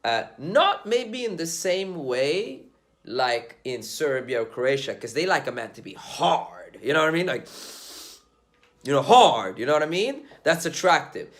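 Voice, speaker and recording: A man's voice: a little high, big pitch swings, unhurried, fairly bright tone. A young man from Sweden talking casually and bluntly to camera, slangy and swearing as he gives his views on dating. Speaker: dating commentator vlogger.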